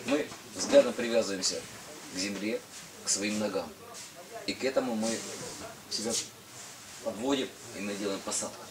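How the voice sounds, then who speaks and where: A man's voice: lowish voice, normal speed, pauses often, a crowd in the background. A middle-aged man giving an explanatory safety talk as an instructor, emphatic and repeating his key points. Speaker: parachute instructor. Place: indoor equipment hangar with small audience. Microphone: camera some metres away. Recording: distant mic, background group noise.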